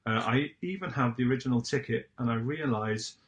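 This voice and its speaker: A middle-aged man recalls his time on stage. His delivery is conversational. The pitch of his voice is average for a man. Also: brisk. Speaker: pantomime performer. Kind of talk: casual reminiscing.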